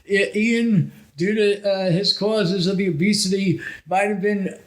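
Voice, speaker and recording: A man co-hosting a podcast in casual, halting conversation with joking asides. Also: sits high, steady tone. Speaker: podcast co-host.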